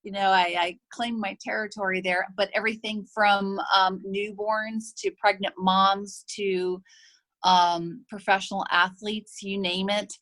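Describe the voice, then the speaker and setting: A woman's voice: medium pitch, little pitch movement, unhurried, a bit bright. A woman chiropractor and health practice owner gives a conversational talk to an association. She often checks in with "make sense?" and acts out her patients' remarks. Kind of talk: presentation to an association.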